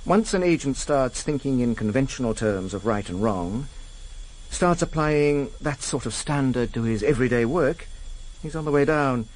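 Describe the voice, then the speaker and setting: A man's voice: medium pitch, animated, normal speed, slight background noise, lightly acted, rough recording. A man acting in a radio drama as a pedantic, coolly menacing senior intelligence official, with a fussy, precise, insinuating manner. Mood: grave, insinuating.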